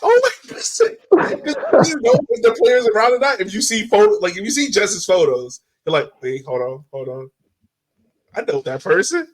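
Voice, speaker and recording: A man hosting a casual sports podcast, talking in an excitable, slangy way. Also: high voice, moderate pace, rough recording. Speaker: podcast host.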